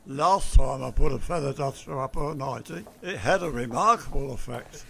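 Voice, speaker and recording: A man's voice: mid-range voice, moderate pace, sharp tone. A man with an English accent playing a cheeky, crude working-class store cleaner in a radio sitcom. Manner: cheeky innuendo, irreverent. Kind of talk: broad radio sitcom acting. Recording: amateur radio play, clear background noise, clicks.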